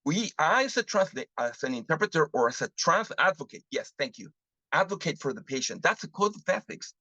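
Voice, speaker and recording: A man from Mexico, presenting a live webinar on interpreting and asking the audience lively rhetorical questions. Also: a little high, a little fast, dry recording. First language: Spanish. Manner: animated teaching, frequent rhetorical questions, switches into Spanish examples. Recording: muffled lo-fi dry webinar audio.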